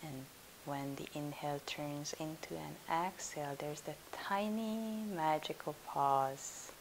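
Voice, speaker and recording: A woman leading a guided yoga nidra meditation as a teacher, in a soothing, hushed, unhurried manner. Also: sits low, rough recording. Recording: steady hiss.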